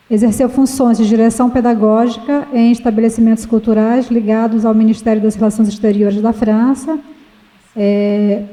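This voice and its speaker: A woman with a Brazilian accent moderating a panel, reading a formal academic introduction in a halting way with self-corrections. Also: on the high side, dark tone, low-quality recording.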